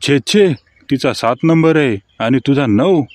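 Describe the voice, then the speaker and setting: A man's voice: mid-range voice, lively intonation, measured pace, heavy background noise, close to an everyday voice. A man playing a sarcastic, teasing husband in scripted comedy cartoon skits. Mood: dry, teasing.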